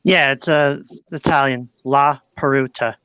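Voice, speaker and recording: A man from New York State, a guest artist on a live stream, talking easily with many pauses. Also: mid-range voice, fairly even intonation, pushed and bright, clean tone, no room sound. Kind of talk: casual live-stream chat. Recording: dull lo-fi audio, dry.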